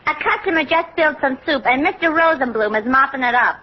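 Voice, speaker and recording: A muffled, slightly noisy old radio recording carries a fretful female tavern employee. Her voice is high, played in vintage radio comedy style. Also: animated, quick pace. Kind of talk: 1940s radio comedy acting.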